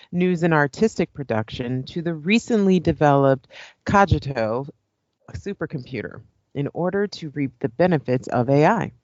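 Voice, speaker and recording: A woman's voice: sits low, dry recording, dull audio. A woman playing a composed moderator presenting a broadcast debate in an audio play. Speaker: debate show host. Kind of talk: radio play presenter acting.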